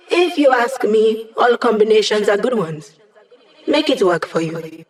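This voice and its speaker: A woman's voice: mid-range voice, natural voice. Playful, wry young hacker woman played by an actress. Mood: upbeat, playful. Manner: wry, chatty, playful asides. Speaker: game character voice actor. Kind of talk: video game character voice lines.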